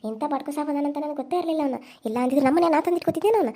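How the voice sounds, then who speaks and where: A woman's voice: high voice, racing, mellow, natural voice. A woman playing a frightened young daughter-in-law. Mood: tearful. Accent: North Karnataka Kannada. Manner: tearful, pleading.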